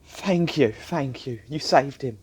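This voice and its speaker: A woman playing a guilt-ridden, humble, apologetic father in a radio play, with a husky voice and hesitant manner. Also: rumbling low, slow pace, a little mellow, a little hiss or hum. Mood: remorseful.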